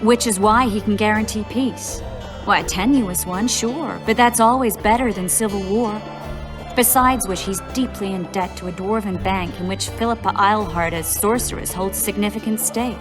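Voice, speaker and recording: An actress playing a poised, shrewd sorceress in a video game, speaking in a measured, articulate way. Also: female voice, medium pitch, lightly acted. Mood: composed, explanatory. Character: poised, shrewd young female sorceress, politically savvy. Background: background music.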